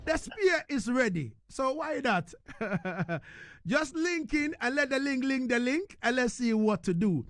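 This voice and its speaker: A man with a Ghanaian accent hosting a casual live radio interview, animated and often repeating phrases for emphasis. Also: extremely high pitch, normal speed, few pauses. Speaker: radio host.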